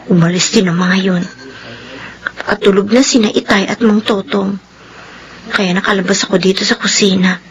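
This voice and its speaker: A woman's voice: medium pitch, natural voice, rough recording. A woman with a Filipino accent playing a timid, vulnerable young schoolgirl in a radio drama, with a hesitant, pleading manner. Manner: stammering, pleading.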